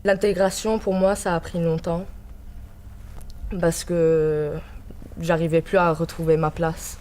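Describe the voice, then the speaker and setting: A woman's voice: sits low, dark tone. A young woman reflectively describing her own arrival and integration. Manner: reflective, with restarts. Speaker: student speaking of her own migration. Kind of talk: personal testimony.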